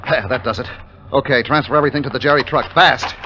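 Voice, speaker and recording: An actor playing a brisk, commanding army captain in a 1950s radio drama, speaking in a clipped, authoritative way. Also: male voice, medium pitch, noisy background, natural voice. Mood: urgent, commanding. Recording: muffled old radio recording with background music.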